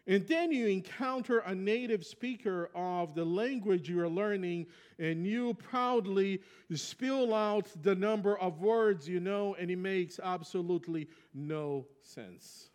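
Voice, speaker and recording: Pastor: man, Brazilian accent, native Portuguese, fairly high voice, conversational preaching with personal stories. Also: unhurried, hardly any pauses, darkish tone. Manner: reflective storytelling, conversational. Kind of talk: sermon.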